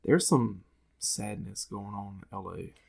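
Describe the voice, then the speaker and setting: A man's voice: fairly low pitch, unhurried, vocal fry, darkish tone. A man co-hosting a podcast in a laid-back, rambling, colloquial chat. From small town in the US. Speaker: podcast co-host. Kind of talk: casual chat.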